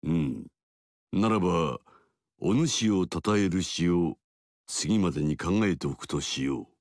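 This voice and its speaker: An actor playing a dignified, commanding older male warlord in a game, measured and authoritative. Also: sits low, lively intonation, no room sound, clean studio sound, exaggerated voice. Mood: approving. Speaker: game character voice. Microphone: dry studio mic.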